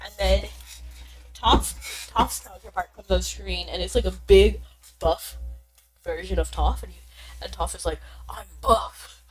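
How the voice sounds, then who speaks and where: A woman's voice: medium pitch, low-quality recording. A young woman streaming a game and chatting casually with viewers, bubbly and chatty, a little congested from being sick. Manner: bubbly, chatty, quick bursts of excitement. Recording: faint background game music.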